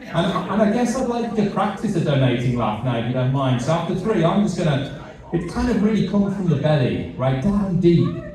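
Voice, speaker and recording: A man's voice: high-pitched, sing-song, darkish tone. A middle-aged British man giving a fundraiser speech. He repeats words and pokes fun at himself. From Britain. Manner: halting, repeats words, self-deprecating. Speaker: charity CEO giving a speech. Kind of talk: fundraiser speech with light humour. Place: large event hall. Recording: echoey hall, mic heard from a distance.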